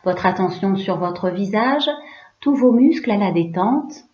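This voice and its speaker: A woman yoga teacher leading a guided relaxation in a hushed, soothing, unhurried way, leaving pauses between short phrases. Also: mid-range voice, expressive. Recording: roomy, slightly dull recording.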